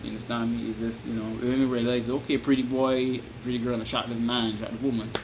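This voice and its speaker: A man with a Barbadian accent talks loosely and at a middling pitch, circling back on himself and checking in with the listener. A steady hiss and air-conditioner hum sit under his voice. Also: fast talker. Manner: rambling, repeats "you understand me?", restarts often. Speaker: vlogger giving advice. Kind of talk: casual advice monologue to camera.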